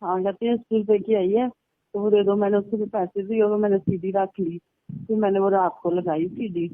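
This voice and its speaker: A woman's voice: medium pitch, fairly even intonation, fairly quick. Woman, casual storyteller on a recorded phone call; tinny, narrow sound, dry with no room echo. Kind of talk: casual phone chat, recounting personal stories. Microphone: telephone line.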